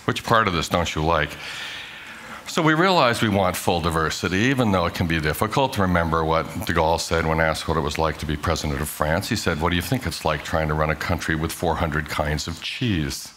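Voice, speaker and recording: Deep-voiced man giving a measured talk. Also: fairly bright tone, slight background noise. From grew up in Hong Kong. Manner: measured, emphatic lecturing with rhetorical questions. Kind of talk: prepared conference talk played in class.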